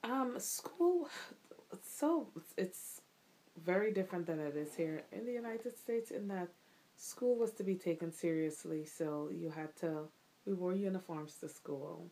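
Hesitant low-voiced middle-aged woman from Guyana. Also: lively intonation, a little slow, warm tone, low-quality recording. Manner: thoughtful, hesitant, many ums and restarts. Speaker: interviewee, the host's mother. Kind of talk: casual recorded interview.

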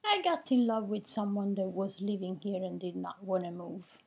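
A woman's voice: medium pitch, moderate pace, creaky, a little mellow, breathy edge. A woman, thoughtfully describing her own experience and searching for her words. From Italy. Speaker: interviewee, immigrant parent. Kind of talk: reflective personal account.

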